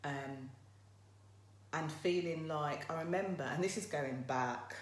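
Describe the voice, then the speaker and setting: A woman with a croaky voice hosting a casual live motivational talk, chatting directly to her viewers. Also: low voice, slow pace. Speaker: motivational livestream host. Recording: roomy echo, faint background noise.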